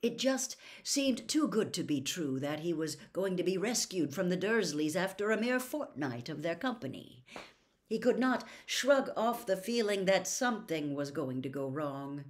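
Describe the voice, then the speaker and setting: A woman in her sixties reading a novel aloud as a storyteller, with dramatic, deliberate phrasing. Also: on the low side, big pitch swings. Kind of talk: expressive audiobook-style reading of a novel. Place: small furnished room at home.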